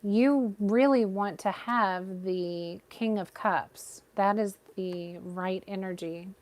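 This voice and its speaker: A woman giving a live tarot reading with a soothing, gentle voice, talking straight to her viewers. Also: mid-range voice, slow pace. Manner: gentle, reassuring, addresses viewers by name. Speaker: tarot reader.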